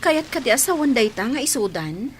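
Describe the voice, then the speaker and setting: A woman's voice: fairly high pitch, sing-song, a little fast, slight background noise. A woman acting a shy, deferential young housemaid in an Ilocano radio drama, hesitant, with an Ilocano accent. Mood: reluctant.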